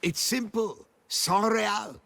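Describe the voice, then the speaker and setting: A man playing a fussy, self-assured sommelier in a video game, halting and savoring like a pompous connoisseur. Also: sits high, bright, forceful tone, a little hiss or hum, exaggerated voice. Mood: pondering, smug.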